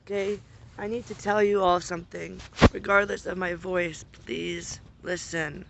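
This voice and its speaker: A man who is losing his voice and getting sick, making an earnest, repetitive spoken appeal and often clearing his throat. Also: sits high, steady tone, measured pace, heavy background noise. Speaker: vlogger making a public appeal. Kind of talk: unscripted appeal to camera.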